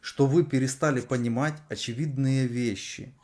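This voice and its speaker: A man arguing on a video chat, asking calm, persistent, pointed questions. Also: mid-range voice, normal speed. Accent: Ukrainian. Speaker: chat roulette host. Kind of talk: argumentative chat roulette debate.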